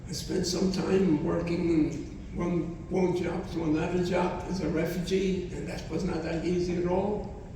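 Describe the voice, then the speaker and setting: Brief: elderly man in his 90s, non-native English, halting and repetitive, a mellow low voice picked up from far off in a boomy hall. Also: a little hiss or hum. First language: not English. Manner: halting, repeats phrases, speaks with feeling. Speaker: Holocaust survivor speaker. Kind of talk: testimony to students. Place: college hall.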